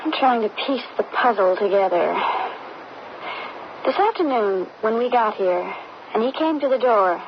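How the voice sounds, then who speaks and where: A worried young student daughter in a vintage radio drama. Her voice sits fairly high, with an earnest, dramatic delivery. The recording is muffled and clearly noisy. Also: expressive, a little slow, long pauses. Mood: pondering. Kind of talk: old-time radio drama acting.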